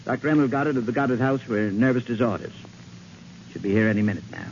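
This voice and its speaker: An actor playing an irritable, blustering rich property owner in 1940s radio style, pompous and grumbling. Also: male voice, medium pitch, fast talker, close to an everyday voice. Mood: brisk, gruff. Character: irritable, blustering wealthy male owner of decaying mansion. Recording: muffled 1940s radio recording, faint noise.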